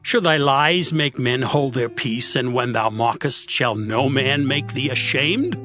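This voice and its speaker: A man's voice: mid-range voice, measured pace, bright, forceful tone. A man playing Zophar, a stern man rebuking his friend in a lecturing, dramatized scripture reading. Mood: reproachful. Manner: stern, lecturing King James delivery. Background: music.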